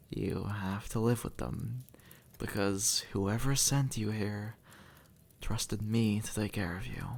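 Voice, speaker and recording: Man playing a low, gravelly, easygoing demon. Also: exaggerated voice. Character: easygoing two-century-old male demon, formerly human, reassuring and teasing. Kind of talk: intimate ASMR audio roleplay, addressed to the listener.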